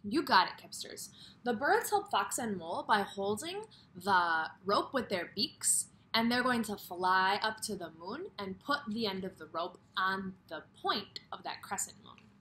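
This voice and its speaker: A woman's voice: medium pitch, expressive, unhurried, pushed and bright, slight background noise. A woman kindergarten teacher reading a story aloud expressively and putting questions directly to young children.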